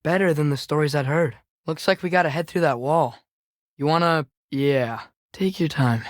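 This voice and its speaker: A man's voice: on the high side, normal speed, dry recording, lightly acted. Game role: eager, sincere teen boy voiced by a young man, godly lineage, youthful and conversational, close dry sound. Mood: earnest, casual. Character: earnest, eager teenage boy adventurer, son of a god. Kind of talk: video game character acting.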